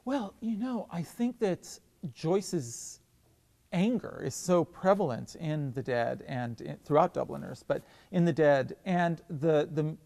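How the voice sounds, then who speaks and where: Man, slightly high voice, thoughtful, enthusiastic, restarts often, long reverb. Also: expressive, slow, vocal fry, a little mellow. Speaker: theatre director and professor. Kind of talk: relaxed interview answers.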